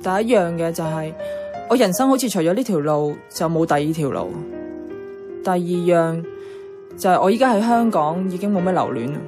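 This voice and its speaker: A woman's voice: lowish voice, expressive, normal speed, close to an everyday voice. An actress playing a blunt, bossy young career woman in a radio drama, with a colloquial Hong Kong Cantonese way of speaking. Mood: reflective, unsure. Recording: clear music playing behind the voice.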